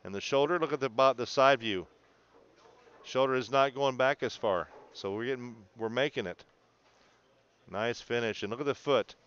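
Man, bowling coach, smooth resonant voice, encouraging, explanatory, one-to-one instruction, slightly muffled lo-fi audio. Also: medium pitch, normal speed, a bit bright. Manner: encouraging, explanatory, checks in with "okay?". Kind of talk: instructional video analysis, coaching a student one to one.

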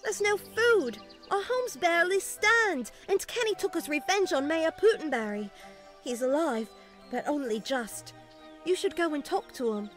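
High-voiced aggrieved village woman. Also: animated, a little slow, faint music behind. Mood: plaintive, aggrieved. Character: distressed village woman recounting bandit raids. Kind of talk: fantasy game dialogue.

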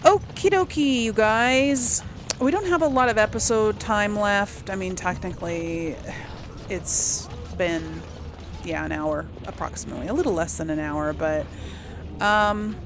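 A woman's voice: mid-range voice, noisy background. A woman hosting a casual let's play, chatting and thinking aloud as she plays. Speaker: gaming channel host. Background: game music.

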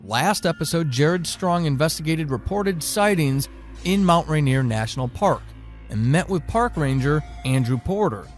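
A man's voice: on the high side, animated, moderate pace. A man reading a scripted podcast introduction as the announcer. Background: faint music.

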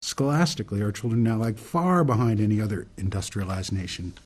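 An earnest older man played by a male actor makes a solemn speech in a radio play. His voice sits low, with a soft husky grain and a dark, mellow tone. He speaks deliberately, like a reformer stating a grave case. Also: animated. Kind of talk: radio drama monologue, oratorical.

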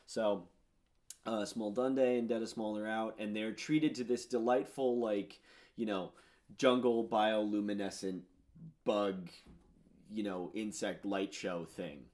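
A man in his thirties with a fairly low voice talks through his own music in a loose, rambling way. He leans on fillers and gets excited as he goes. He sits in a home studio, speaking to a camera. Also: unhurried. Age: 30s. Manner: rambling, filler-heavy, enthusiastic. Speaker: musician discussing his album. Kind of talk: casual commentary on his own songs. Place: home studio with purple lighting.

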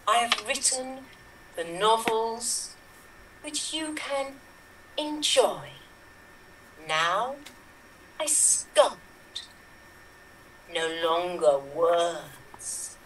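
A woman playing in an online improv game over a webcam, speaking in a slow, measured way. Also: medium pitch, big pitch swings, a bit bright, a little hiss or hum. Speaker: improv player. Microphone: webcam on a video call. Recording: roomy webcam audio from a distance.

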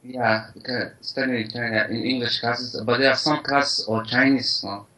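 A man's voice: medium pitch, fairly even intonation, normal speed, sharp tone, poor audio. A young Kenyan man, native Swahili speaker, answering casually and briefly over a video call. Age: young adult. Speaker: medical student caller.

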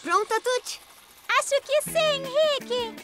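Casting: small cartoon motorbike kid, extremely high voice, slow pace, earnest and exclamatory, music behind. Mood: excited. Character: young cartoon motorcycle kids, excitable and caring. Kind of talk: animated children's cartoon dub, in dialogue.

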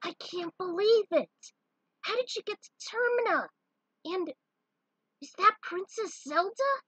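Excitable boy hero for a storybook adventure, played by a woman: very high, loud, amazed. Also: takes it slowly, no echo, heavily acted, low-quality recording. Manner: loud, exclaiming.

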